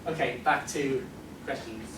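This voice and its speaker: A man's voice: mid-range voice, measured pace. A man speaking as a convention panelist, chatting casually and jokingly with the audience. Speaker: convention panelist from an audio drama group. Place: convention panel room, indoors. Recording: roomy, distant microphone, noisy convention room.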